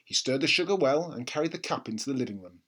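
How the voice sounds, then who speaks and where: A man's voice: mid-range voice, animated, quick pace, fairly bright tone. A man narrating a children's book expressively, as a storybook reader.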